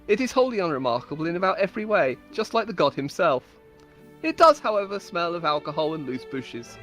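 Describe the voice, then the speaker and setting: A woman's voice: fairly low pitch, sing-song, a little fast, a bit bright. Woman, game-server storyteller, mock-heroic dramatic reading, amused asides, music underneath. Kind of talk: dramatic reading of comic fantasy stories.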